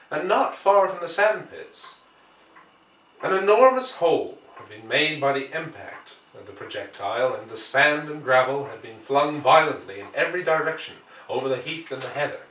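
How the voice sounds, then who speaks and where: A man's voice: mid-range voice, fairly bright tone. Man reading literary prose in an echoey room. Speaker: audiobook reader. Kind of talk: scripted literary narration. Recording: echoey room, distant from the mic.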